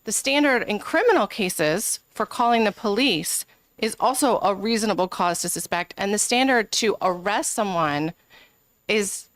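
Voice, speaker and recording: A woman's voice: mid-range voice, lively intonation, normal speed, fairly bright tone, no room sound. A woman legislator presenting an amendment at a committee hearing, speaking earnestly and arguing her case with frequent ums. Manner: earnest, argumentative, many filler ums. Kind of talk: committee testimony.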